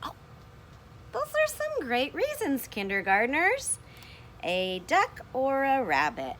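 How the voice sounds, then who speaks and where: A woman with a very lively, swooping delivery talks to small children like a kindergarten teacher. She takes her time and often puts questions to them, with noticeable noise in the recording. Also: fairly high pitch. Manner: expressive, asks questions and pauses for answers. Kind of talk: read-aloud lesson to young children.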